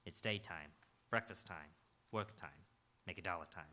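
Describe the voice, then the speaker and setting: A man's voice: low-pitched, moderate pace, bright, forceful tone. A man playing a hard-boiled, world-weary police detective in a live radio noir drama, brooding and terse. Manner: terse, brooding, clipped questioning.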